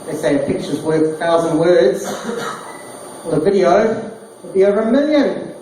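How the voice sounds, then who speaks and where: Deadpan kiwi comic in an echoey bar. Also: male voice, sits high, normal speed. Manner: deadpan pun delivery. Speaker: stand-up comedian. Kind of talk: stand-up one-liners. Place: small bar stage, roomy and echoey. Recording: echoey distant bar recording, noisy. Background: small crowd laughing, bar noise.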